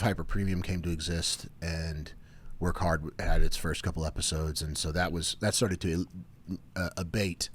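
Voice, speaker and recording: A man in his thirties hosting a casual podcast chat, often restarting his sentences and trailing off quietly. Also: sits low. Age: 30s. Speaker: podcast host.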